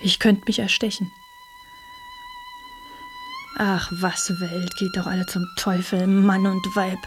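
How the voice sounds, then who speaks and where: A woman's voice: medium pitch, flat delivery, takes it slowly, music in the background, close to an everyday voice. An actress playing a poor young mother, guilt-ridden and bitter, in a German radio drama. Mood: bitter, self-reproachful.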